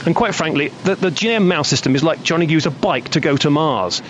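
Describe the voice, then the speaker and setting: Man as a radio guest, steady and firm, listing points, slightly muffled line. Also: on the high side, slight background noise. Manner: measured, firm, lists points.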